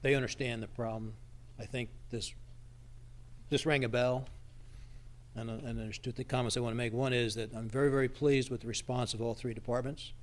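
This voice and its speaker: A man's voice: medium pitch, steady tone, a little fast. Emphatic man at a public meeting. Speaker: advisory committee member presenting a report. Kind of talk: unscripted discussion at a public meeting. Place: public township meeting room.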